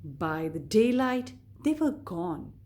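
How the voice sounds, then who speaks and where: A woman storyteller reading a scripted fairy tale to children in a deliberate, expressive way. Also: lowish voice. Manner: deliberate, expressive read-aloud delivery addressed to children.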